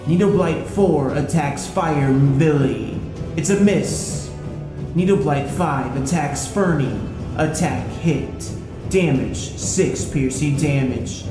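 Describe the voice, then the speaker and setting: Slow-paced man narrating fantasy. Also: fairly high pitch. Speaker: game master. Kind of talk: scripted fantasy game narration. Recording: roomy echo, music behind. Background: music.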